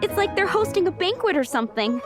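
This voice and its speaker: A woman's voice: very high-pitched, sing-song, quick pace. An actress playing a young woman who runs a busy restaurant, earnest, flustered and businesslike, over game music. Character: young woman running a busy restaurant, harried but kind.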